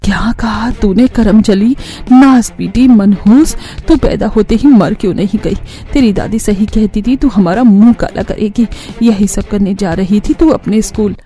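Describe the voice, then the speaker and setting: A woman's voice: mid-range voice, measured pace, warm tone, close to an everyday voice. A woman playing a harsh middle-aged mother in a family cartoon, stern and reproachful. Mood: angry scolding. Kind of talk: Hindi cartoon family drama acting.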